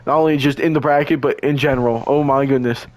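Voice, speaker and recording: A young man, hoarse and losing his voice, doing casual, slangy play-by-play of a video game football match. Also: medium pitch, fast talker. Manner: excitable, slangy, stumbles and restarts. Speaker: play-by-play commentator.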